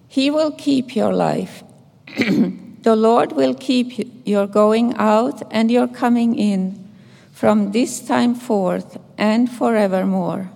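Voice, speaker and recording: An older woman from Finland with a Finnish accent, a nun reading scripture and giving a gentle, measured memorial tribute. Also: a little high, vocal fry. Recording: echoey hall reverb.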